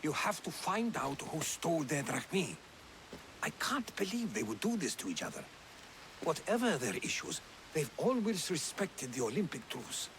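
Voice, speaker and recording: An actor playing a calm, learned man explaining a dispute in a video game, in a measured way. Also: a little high, slight background noise, poor audio.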